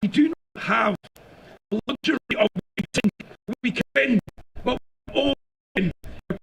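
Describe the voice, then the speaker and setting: Impassioned man with gravelly high voice. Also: measured pace, fairly bright tone, slight background noise, low-quality recording. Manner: impassioned, declamatory. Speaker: rally speaker. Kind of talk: political rally speech. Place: outdoor rally stage.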